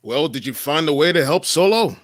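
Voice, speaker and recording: A man's voice: fairly high pitch, lively intonation, brisk, dry recording, studio quality, close to an everyday voice. A man playing a suave, irritable smuggler and ex-hero in a comedic script read. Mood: irritated, dry. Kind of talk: comedic live table read of a film script.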